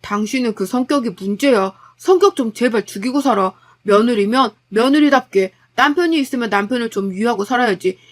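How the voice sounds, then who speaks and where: A woman's voice: on the high side, brisk, hardly any pauses, close to an everyday voice. A woman voicing an exasperated, blunt husband caught in a family quarrel. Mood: bitter. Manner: blunt, irritated. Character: exasperated young married man, blunt.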